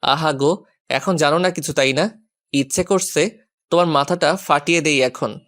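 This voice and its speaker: A man's voice: fairly high pitch, normal speed, fairly bright tone, close to an everyday voice. Man acting teasing, grumpy young boyfriend. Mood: teasing, mock-annoyed. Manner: teasing, mock-annoyed. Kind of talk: romantic audio story acting.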